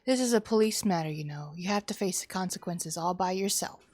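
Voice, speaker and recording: A woman's voice: fairly low pitch, a little fast, darkish tone, no echo, lightly acted. A woman voicing a harsh, blaming parent in a visual novel, with dramatic reproach. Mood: bitter reproach.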